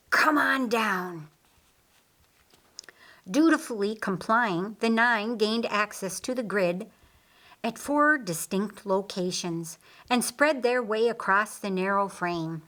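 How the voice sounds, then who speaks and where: A woman reading a fiction audiobook as narrator, in a deliberate, measured manner. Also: medium pitch, a bit bright. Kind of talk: scripted narration of a fiction story.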